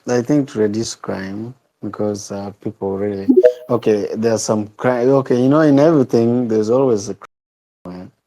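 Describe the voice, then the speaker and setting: A man from South Sudan, a guest on a casual podcast call, speaking haltingly and repeating words as he starts. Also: lowish voice, dark tone, low-quality recording. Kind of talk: casual chat on a group audio call.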